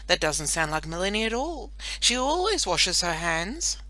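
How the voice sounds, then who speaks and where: A woman's voice: lowish voice, big pitch swings, moderate pace, pushed and bright, no room sound. A woman voicing an earnest, doubtful schoolgirl in a children's audiobook, questioning with careful emphasis.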